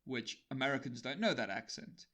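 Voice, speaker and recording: A man's voice: medium pitch, animated, fairly quick, fairly bright tone. A man from Africa, interviewing a guest in a casual, chatty conversation. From South Africa.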